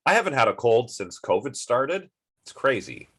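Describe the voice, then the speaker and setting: A man's voice: medium pitch, sing-song, moderate pace, a bit bright, slightly rough. A man with a sniffly, stuffed-up voice giving a casual online philosophy lecture, chatty and rambling with many fillers and restarts.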